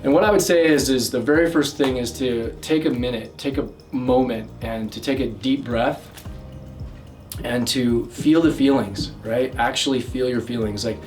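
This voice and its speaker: A loud, energetic man coaching on love and communication. His voice sits in an ordinary middle pitch, and he piles up fillers and restarts as he talks. He is heard a little away from the mic, with faint music underneath. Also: normal speed, far from the mic. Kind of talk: direct-to-camera advice talk.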